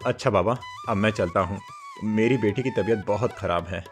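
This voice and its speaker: A man voicing a humble, caring farmer and father in a cartoon moral story, with a gentle, concerned manner. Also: lowish voice, moderate pace, music in the background, lightly acted. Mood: kindly.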